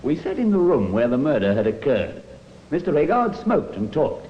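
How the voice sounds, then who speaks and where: An actor playing a disapproving police inspector giving formal, pointed evidence in an old radio drama. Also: male voice, fairly high pitch, big pitch swings, normal speed. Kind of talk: 1950s radio drama acting. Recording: muffled, hissy old radio recording.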